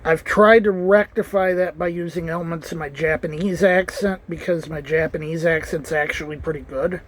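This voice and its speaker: Male vlogger, high-pitched, earnest and rambling, casual to-camera chat. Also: fairly even intonation, moderate pace, a little hiss or hum. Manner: earnest, rambling, with restarts and asides.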